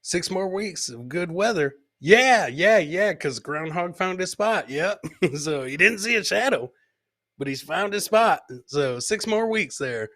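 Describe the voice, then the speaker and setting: A man's voice: high-pitched, expressive, unhurried, a bit bright. A man hosting a live comedy podcast, casually commenting on fail clips and repeating his own lines as he chats with viewers.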